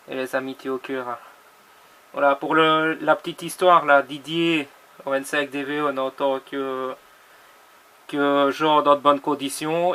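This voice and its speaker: A man talking informally and haltingly, as an amateur radio operator in a casual exchange. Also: fairly high pitch, fairly bright tone. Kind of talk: casual radio chat with callsigns and spelling.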